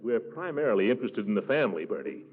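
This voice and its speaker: An actor playing a pompous, fussy middle-aged uncle who blusters and gets flustered, in 1940s radio comedy style. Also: male voice, on the low side, sing-song, fast talker, breathy edge. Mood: fussy, wary. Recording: muffled, tinny 1940s radio recording.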